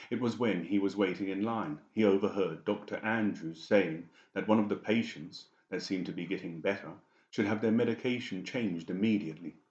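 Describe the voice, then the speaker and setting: Very deep male narrator. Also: a little mellow. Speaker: audiobook narrator. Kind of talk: scripted fiction narration. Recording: dull, echoey room recording.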